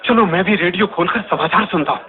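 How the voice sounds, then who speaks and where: A man's voice: sits high, fast, heavy background noise, lightly acted. A man acting an ordinary, alarmed man thinking aloud in theatrical exclamations and questions in a radio drama. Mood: puzzled. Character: alarmed ordinary man musing aloud about a sunless morning. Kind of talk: Hindi radio drama soliloquy. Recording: tinny, narrow-band radio drama recording.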